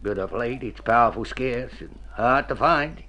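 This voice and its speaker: An actor playing a tough, stubborn old country doctor in a radio drama, folksy, with a rural American mountain accent. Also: male voice, mid-range voice, moderate pace, sharp tone, dull audio. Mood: confident, persuasive. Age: older. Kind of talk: Golden Age radio drama acting. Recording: noisy old radio recording.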